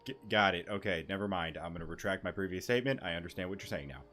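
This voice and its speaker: A man's voice: low-pitched, very fast, a bit bright. A man giving casual, half-joking live commentary over a video game, informal and prone to swearing. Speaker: gaming streamer. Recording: game music behind the voice.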